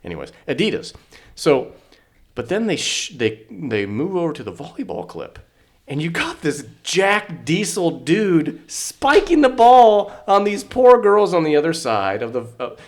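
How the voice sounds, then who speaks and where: A hoarse man co-hosting a casual sports podcast, joking and rambling with lots of fillers. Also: a little high, big pitch swings, a little slow. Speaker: podcast co-host.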